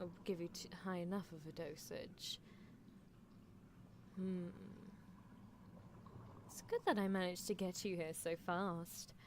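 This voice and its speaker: A woman's voice: mid-range voice, darkish tone, slight background noise. A woman voicing an eccentric female mad scientist in an ASMR roleplay, gleefully menacing and doting, with a theatrical, musing, teasing delivery. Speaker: streamer performing a character roleplay. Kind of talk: live ASMR character roleplay, improvised replies to listeners.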